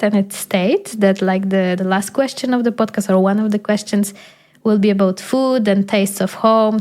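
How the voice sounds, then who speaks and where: A young woman from Poland, Polish her first language, speaks in a casual chat. Her voice sits in a middle range. She pauses and searches for words, with gentle fillers as she reflects. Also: medium pitch. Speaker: podcast host. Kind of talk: casual conversation.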